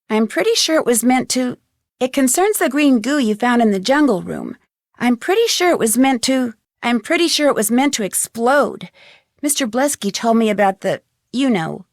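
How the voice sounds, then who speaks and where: Eager young lady sleuth in a video game, highish voice, earnest, close dry sound. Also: no echo. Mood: polite, earnest. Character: young woman amateur detective, polite, eager, determined. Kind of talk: acted video game dialogue lines.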